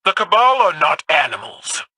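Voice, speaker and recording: An actor playing a proud, stern, vengeful alien military ruler in a video game, clipped and imperious, heard through a radio filter. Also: male voice, on the high side, big pitch swings, moderate pace, sharp tone, husky, no room sound, heavily acted, poor audio. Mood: haughty, demanding. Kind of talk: video game dramatic voice acting.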